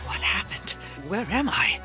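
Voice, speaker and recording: An actor playing a bumbling, pigeon-obsessed villain in an animated game. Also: male voice, high voice, measured pace, music in the background. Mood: dazed.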